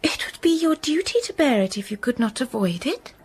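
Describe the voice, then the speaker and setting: An actress voicing a patient, pious young schoolgirl in a radio drama, calm and gentle. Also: on the high side, brisk, slightly rough. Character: patient pious young girl pupil Helen.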